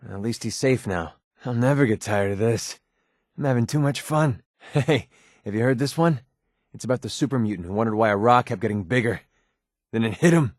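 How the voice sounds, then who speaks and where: A man's voice: medium pitch, brisk, dry recording, clean studio sound, natural voice. A man voicing a young, wisecracking mercenary companion in a video game, with an American accent and an informal, sardonic delivery. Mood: upbeat, friendly.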